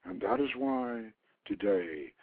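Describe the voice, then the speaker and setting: An older man hosting a radio show, reading aloud and commenting informally in a deliberate storyteller's manner. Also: on the low side, expressive, slightly rough, no room sound. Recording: narrow phone-like line, dry.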